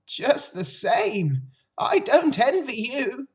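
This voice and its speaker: A snappish old ewe from a storybook, acted by a woman, pitched high and pushed out firmly. She is blunt, grimly knowing and matter-of-fact. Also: very animated, slow, breathy edge, full character voice. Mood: smug. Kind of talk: storybook character voice.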